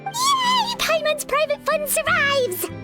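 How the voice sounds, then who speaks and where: An extremely high, squeaky childlike voice, a tiny fairy sidekick in a cartoonish video game. She is excitable, cheeky and exclaims a lot, with clear background music. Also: slow, heavily acted. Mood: proud, gleeful. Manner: talks of herself in third person, exclaiming. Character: tiny excitable female fairy companion, cheeky, money-minded.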